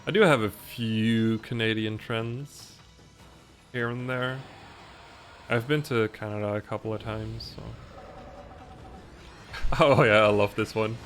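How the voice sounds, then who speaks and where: Gravelly Dutch man with game music. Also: fairly low pitch, slow pace, long pauses. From Netherlands. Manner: relaxed, chatty, mixes British and American pronunciation. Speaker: speedrunner. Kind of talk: casual commentary while playing.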